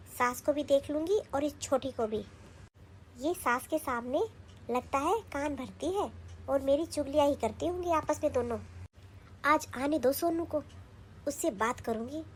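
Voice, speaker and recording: A woman acting a sharp-tongued, defiant elder daughter-in-law in a family skit. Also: very high voice, slight background noise. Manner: snapping, defiant.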